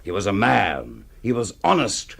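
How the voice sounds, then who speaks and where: A man's voice: on the low side, huge pitch swings, unhurried, creaky, fairly bright tone, heavily acted. An actor playing a bombastic, scornful middle-aged literary man in a radio drama, pompous and florid in delivery. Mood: admiring.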